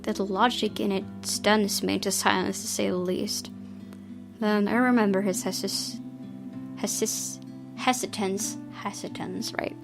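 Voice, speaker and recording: A woman's voice: mid-range voice, fairly even intonation, measured pace, creaky. A young woman reading visual novel narration aloud as the host of a gaming video. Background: game music with guitar.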